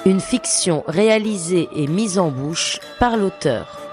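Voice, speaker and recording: A woman narrating a scripted literary audio drama in a formal, measured storytelling manner. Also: lowish voice, expressive, music in the background.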